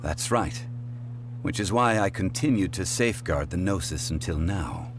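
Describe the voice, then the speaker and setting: A man playing an ancient, wise god in human form in a video game, speaking in a calm, measured and formal way. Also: low voice, creaky, noisy background. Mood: reflective. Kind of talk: solemn video game monologue. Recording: game music behind the voice. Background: game soundtrack music.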